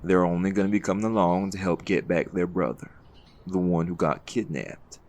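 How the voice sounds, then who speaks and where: An actor playing a calm, authoritative vigilante leader in an audio roleplay, with a folksy, firm way of talking. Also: male voice, low-pitched, moderate pace, lightly acted. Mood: firm, patient.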